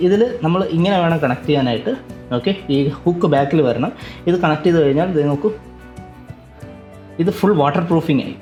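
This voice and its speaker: A man's voice: fairly high pitch, darkish tone. A man giving a casual, explanatory unboxing review, often repeating and rephrasing himself as he goes. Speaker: tech vlogger and product reviewer.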